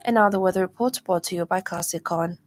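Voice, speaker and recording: Lady reading the evening radio news, formal and measured, studio mic. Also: fairly low pitch. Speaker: news reader.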